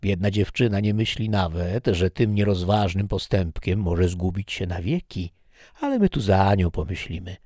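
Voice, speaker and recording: A man's voice: low voice, expressive, normal speed, a little mellow, no echo, clean studio sound. A man voicing an eager, boastful male busybody who takes charge of everything. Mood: excited.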